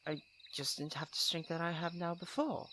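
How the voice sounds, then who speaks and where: A man acting a proud but secretly tender young prince in an ASMR roleplay, posh and halting, teasing and easily put on the defensive. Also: a little high, little pitch movement, noisy background, close to an everyday voice. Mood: flustered, defensive.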